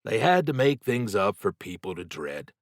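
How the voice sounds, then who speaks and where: A man narrating a horror novel as an audiobook, telling the story in a measured, dramatic, suspenseful way. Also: lowish voice, big pitch swings, no echo, clean studio sound.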